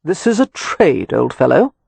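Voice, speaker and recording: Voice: medium pitch, very animated, unhurried, vocal fry, a little mellow, husky, no echo, exaggerated voice. A narrator voicing a hot-tempered, sardonic young nobleman in an audiobook. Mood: teasing. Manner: furious, raised voice. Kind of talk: audiobook character voice.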